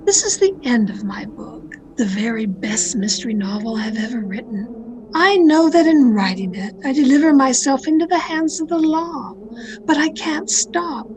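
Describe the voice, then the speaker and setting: An actress playing a jealous, murderous mystery novelist in a radio drama, with deliberate melodramatic delivery over a home call recording. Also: female voice, high voice, lively intonation, noisy background. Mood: resigned confession. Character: jealous, murderous woman mystery novelist confessing her crimes. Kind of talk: dramatic first-person radio mystery narration. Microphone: video call.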